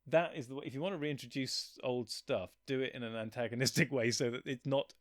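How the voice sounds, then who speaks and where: A man in casual, opinionated chat as a guest, griping in an animated, blunt and sweary way. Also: mid-range voice, a little fast, dry recording, clean studio sound. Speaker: guest, fan-fiction author.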